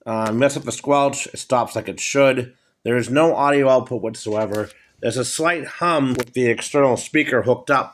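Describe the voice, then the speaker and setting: American guy, middle aged, medium pitch, casually showing off stuff at home, plain spoken, kinda halting. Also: a bit bright. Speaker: hobbyist presenter. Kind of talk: casual off-the-cuff product show-and-tell. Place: indoors at a wooden table in a home. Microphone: handheld phone, speaker behind camera.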